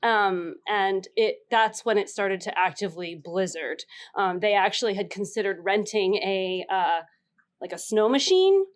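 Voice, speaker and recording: A woman telling a casual story as a contestant on a panel game, halting and giggly. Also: medium pitch, lively intonation.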